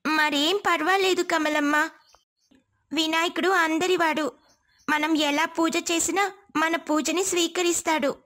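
A woman voicing a gentle, sorrowful young orphan girl in an earnest, pleading way for a story. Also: extremely high pitch, steady tone, unhurried, pauses often, pitched above the performer's own voice.

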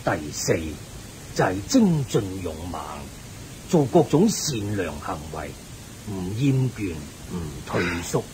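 Wise Buddhist sage, slow lively delivery, benevolent, didactic, Hong Kong Cantonese radio play. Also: male voice, rough recording. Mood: patient teaching. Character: kindly, wise male Buddhist layman sage. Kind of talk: radio drama acting, teaching. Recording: hissy, rumbly old radio recording.

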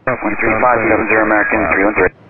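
A man's voice: fairly low pitch, little pitch movement, rapid-fire. A man speaking as an airline pilot in brief radio exchanges over an aviation radio. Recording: noisy, narrow cockpit radio transmission.

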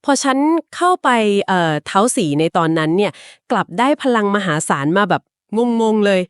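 A woman in mid-range pitch tells an old legend in an easy conversational way, explaining each turn at length. She softens her lines with polite particles and small filler pauses. The sound is dry and close, with no room around it. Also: expressive, clean tone, no echo. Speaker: podcast co-host. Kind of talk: conversational storytelling of a folk legend.

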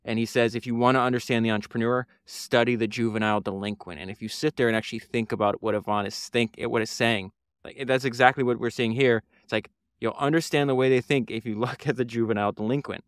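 A man's voice: medium pitch, fairly even intonation, fast, clear, resonant tone, no echo, clean studio sound. A man, hosting a solo podcast and talking through a book in an earnest, rambling way with many fillers and restarts. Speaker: podcast host.

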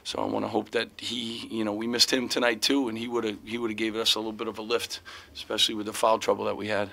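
A man's voice: lowish voice, little pitch movement, fast talker, creaky, sharp tone. A middle-aged man, a head basketball coach, answering reporters' questions after a game, rambling with many "you know" fillers and restarts. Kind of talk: postgame press conference answers. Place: press room in front of sponsor backdrop. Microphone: table mic in front of him.

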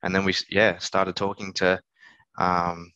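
A man in his thirties, a business owner giving casual, halting interview answers, thinking aloud. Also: low voice, little pitch movement, bright, forceful tone, clean tone, no echo. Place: small office on a video call. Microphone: headset. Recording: slightly dull video-call audio.

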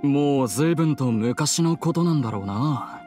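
An actor playing a dutiful, good-natured police partner in a Japanese video game, casual but earnest. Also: male voice, mid-range voice, lively intonation, unhurried, over music, natural voice.

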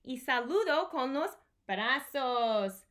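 A woman hosts a storytime for small children at home. Her voice sits fairly high and she speaks very slowly. She repeats simple phrases in an animated, teacherly way, recorded on a device mic in a small room. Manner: animated, simple repeated phrases, switches between Spanish and English. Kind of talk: singing and reading aloud to young children.

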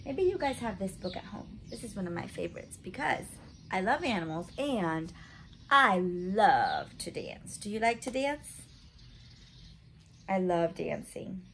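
Slow-paced woman reading to young children. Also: mid-range voice, very animated, gravelly, slight background noise. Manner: simple questions and explanations addressed to little listeners. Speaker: story time reader for children. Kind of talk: read-aloud to young children with chatty asides. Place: home living room, indoors.

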